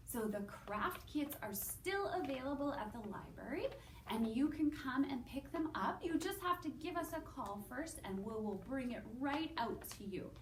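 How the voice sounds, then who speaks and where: A woman with a very lively, slightly high voice leads a story time for small children. She is sing-song and encouraging, leaving space for little listeners to respond. The sound is roomy and echoing, picked up a little way from the microphone. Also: a little hiss or hum. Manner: sing-song, animated, encouraging, asking questions and pausing for answers. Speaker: children's story time host at a public library. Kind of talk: children's storytelling and singing, addressing young listeners. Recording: roomy echo, distant microphone.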